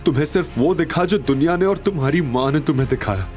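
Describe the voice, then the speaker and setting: A man's voice: on the high side, lively intonation, fast, a little mellow, soft background music, pitched above the performer's own voice, natural voice. A man playing a wounded, proud young husband in an emotional, emphatic audio drama style. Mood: hurt, defiant. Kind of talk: Hindi audio drama acting.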